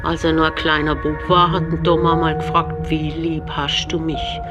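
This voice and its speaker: A man's voice: on the high side, little pitch movement, normal speed, over music. A man narrating in a radio play, telling a story in a literary style.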